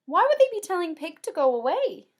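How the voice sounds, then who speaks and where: A woman kindergarten teacher doing an expressive read-aloud for young children, with drawn-out emphasis and simple explanations. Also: extremely high pitch. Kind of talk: animated children's read-aloud with comprehension questions.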